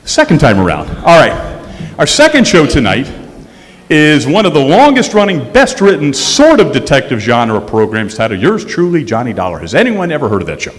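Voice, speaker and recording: Guy introducing a stage show, kinda high voice, really up and down, big echoey hall. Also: wildly swooping pitch, normal speed. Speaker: host introducing a live show. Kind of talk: informal stage introduction to an audience. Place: hall of a museum courthouse, on stage.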